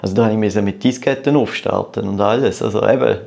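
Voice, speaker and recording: Man, thirties, Swiss German accent, fairly low pitch, fry in the voice, casual interview talk, halting repetitions. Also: darkish tone. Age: 30s. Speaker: podcast guest, start-up entrepreneur.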